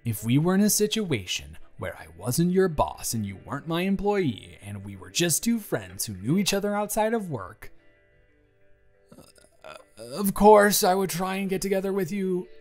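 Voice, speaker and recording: A man's voice: sits high, sing-song, normal speed, a little mellow. A man acting in an intimate audio roleplay as an earnest, conflicted boss, talking in a confiding, hesitant, conversational way. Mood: reluctant confession. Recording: music behind the voice.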